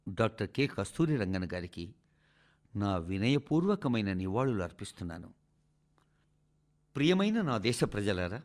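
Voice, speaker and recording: Formal male radio reader with swooping intonation. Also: mid-range voice. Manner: measured, emphatic oratorical phrasing. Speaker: radio announcer reading a translated speech. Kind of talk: formal scripted speech read-out for radio.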